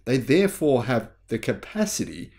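A man's voice: medium pitch, big pitch swings, moderate pace, vocal fry, darkish tone. A man in his forties hosting a news commentary video in an emphatic, conversational way. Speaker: YouTube channel host. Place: small home room, indoors.

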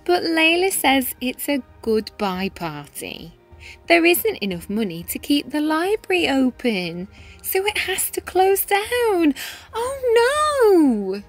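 A woman reading a children's picture book aloud in an expressive, animated manner with dramatic pauses and emphasis. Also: high-pitched, soft background music. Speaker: read-aloud storyteller, teacher-style reader.

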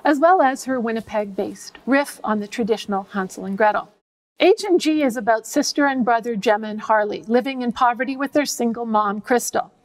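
A middle-aged woman, giving scripted educational narration in a measured, precise way as a professor. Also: fairly high pitch, poor audio. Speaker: professor presenting a web series.

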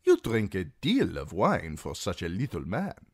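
A male narrator voicing a fat, jovial merchant magister who needles his guest in a genial, mocking, drawn-out way. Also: low voice, wildly swooping pitch, darkish tone, husky, dry recording, clean studio sound, full character voice. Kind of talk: audiobook character reading.

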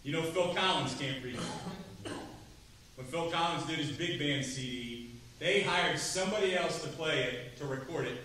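A middle-aged man giving a drum clinic to students, chatty and lively, asking the room questions. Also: a little high, moderate pace. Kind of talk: percussion clinic talk to students. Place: large rehearsal hall, standing before seated students. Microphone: far camera, no mic. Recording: roomy hall from far off, faint noise.

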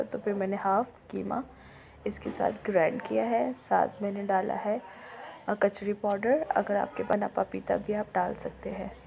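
A middle-aged Pakistani woman, a little unwell, giving an informal step-by-step recipe voice-over with hesitant pauses. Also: mid-range voice, gravelly. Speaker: home cook and video host. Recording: noticeable background noise, home recording.

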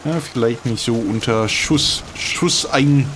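A man's voice: medium pitch, slow pace, fairly bright tone, a little hiss or hum. A man giving casual, dry, joking commentary as he plays a video game. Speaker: let's player commenting on gameplay.

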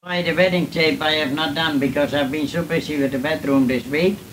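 A man's voice: on the high side, fairly even intonation, a little fast, a bit bright. A man with a Dutch accent talking casually on an old home cassette recording, as a spoken letter. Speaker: father on an old tape letter. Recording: old cassette tape with hiss.

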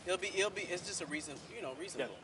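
A man's voice: high-pitched, over a noisy crowd. A man, a police officer, talking easygoing and repetitive with a street preacher on a city street. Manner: rapid, easygoing, repeats himself. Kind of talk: casual conversation. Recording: distant voice, loud street noise.

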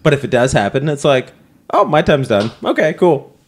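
Lively joking man co-host. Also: mid-range voice, normal speed, breathy edge. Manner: joking, swears casually. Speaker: podcast co-host. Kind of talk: casual chat.

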